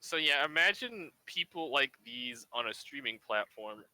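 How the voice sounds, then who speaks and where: Sharp, bright-voiced man on a podcast. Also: medium pitch, animated, moderate pace, low-quality recording. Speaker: podcast panelist who streams. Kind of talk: casual opinionated group chat.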